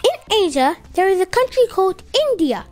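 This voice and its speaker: A woman voicing an eager little child puppet, presenting slowly and carefully in a children's puppet show. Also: very high-pitched, very animated, a little mellow, faint music behind, voice pushed up. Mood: eager, informative. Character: little child puppet Elle, eager young geography helper.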